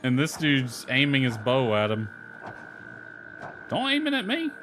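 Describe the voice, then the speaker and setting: A man's voice: medium pitch, wildly swooping pitch, a little slow, over music. A man giving casual video game commentary, muttering to himself with mild grumbling and swearing.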